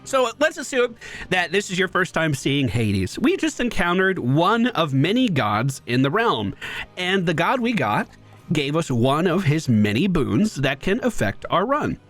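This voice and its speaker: Gameplay commentator, male, higher-than-average voice, very animated intonation, playful and upbeat. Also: a little slow, faint music behind. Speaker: gaming host.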